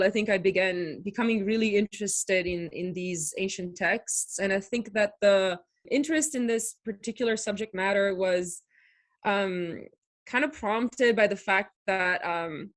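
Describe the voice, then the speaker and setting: A young woman from Italy, a native Italian speaker, answering as a guest in a video podcast interview, thoughtful with frequent pauses. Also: mid-range voice. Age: young adult. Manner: thoughtful, many fillers, laughs easily. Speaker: podcast guest, doctoral researcher. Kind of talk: conversational interview.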